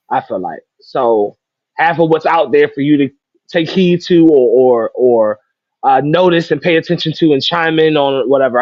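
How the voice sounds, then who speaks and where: Man, mid pitch, dull lo-fi sound, dry, reflective casual panel talk. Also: dry recording. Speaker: panelist on a live talk show. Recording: dull lo-fi connection.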